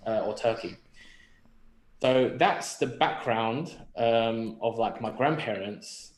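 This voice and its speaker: A man's voice: medium pitch, slow pace. A young man from London with a London accent, chatting casually and reflectively on a podcast, thinking aloud and posing questions to himself. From London, England. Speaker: podcast speaker. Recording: roomy echo on the voice.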